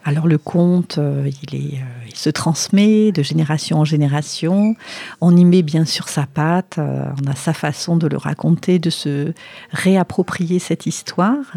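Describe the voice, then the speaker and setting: A woman with a southwestern French accent answering interview questions conversationally, often pausing to find her words. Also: sits low, vocal fry, mellow, dry recording. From southwest France. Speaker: storyteller and writer, interview guest.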